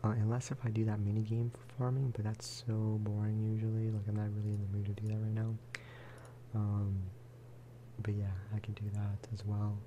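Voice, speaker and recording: A man speaking softly in a low-key, rambling ASMR style as he chats over gameplay. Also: monotone, brisk, noisy background. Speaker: gaming video creator. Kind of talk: soft-spoken ASMR gameplay chat.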